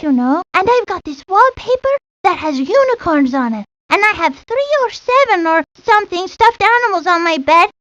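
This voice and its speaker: A woman's voice: extremely high pitch, a bit bright, no echo, exaggerated voice. A woman voicing a girly, chatty seven-year-old girl in a children's cartoon game. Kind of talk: cartoon game character lines. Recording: dull lo-fi old game audio.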